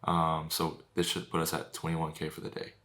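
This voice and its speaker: Low-voiced young man vlogging, laid-back. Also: monotone, normal speed, a bit bright. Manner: laid-back, swears casually, calls everyone bro. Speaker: vlogger and business owner. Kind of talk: casual vlog chat.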